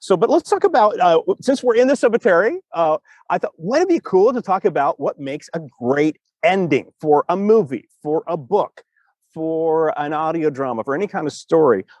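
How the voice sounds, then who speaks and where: A man hosting a casual podcast interview in an informal, expressive, rambling way. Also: on the high side, moderate pace, no room sound. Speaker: podcast host.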